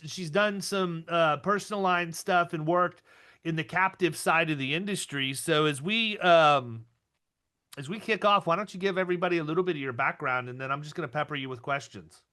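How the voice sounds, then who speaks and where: Man, podcast host, casual rambling chat, bright forceful voice. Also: on the high side. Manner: energetic, rambling with restarts.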